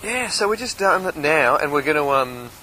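A man's voice: on the high side, lively intonation, moderate pace, pushed and bright, slight background noise, low-quality recording. A man casually recapping his race, as a podcast host and amateur triathlete.

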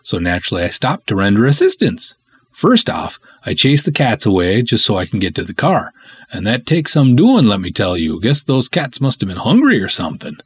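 A man voicing an excitable, chatty cop who tells his tale in folksy slang for a serial story. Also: on the low side, a little fast, slightly rough, dry recording, exaggerated voice. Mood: excited, suspenseful. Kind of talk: dramatic serial reading.

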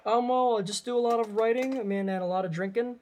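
A man's voice: very high voice, fast talker, a little mellow. A man talks casually as a co-host on a film podcast. His delivery is informal and unscripted, as in a chat among friends.

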